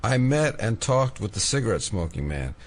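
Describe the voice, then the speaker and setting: An actor playing a wry, earnest FBI agent obsessed with conspiracies in an audio drama. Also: male voice, on the low side, sing-song, a little fast. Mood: earnest, insistent.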